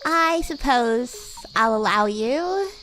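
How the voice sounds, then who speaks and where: A high, swooping voice for a bratty demon schoolgirl, played by a woman. She talks down to listeners with haughty teasing and flustered denials, in the manner of a livestream roleplay. Music plays behind her. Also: expressive, slow, fairly bright tone, poor audio. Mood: teasing, tsundere.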